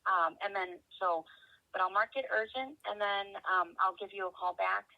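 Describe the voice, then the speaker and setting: A woman working as a customer service representative on a telephone call, speaking haltingly as she thinks aloud and checks details. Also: mid-range voice, fairly even intonation, creaky. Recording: dull, band-limited telephone line.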